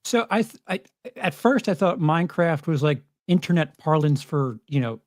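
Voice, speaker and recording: A man's voice: a little high, animated, normal speed, dry recording, clean studio sound. A man chatting casually as a panelist on a livestream.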